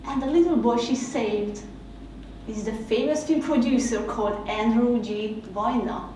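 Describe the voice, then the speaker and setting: A woman with a Hungarian accent, a native Hungarian speaker, giving a reflective spoken essay in a slow, measured, heartfelt way. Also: fairly high pitch, dark tone, a little hiss or hum. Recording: very echoey room, mic at a distance.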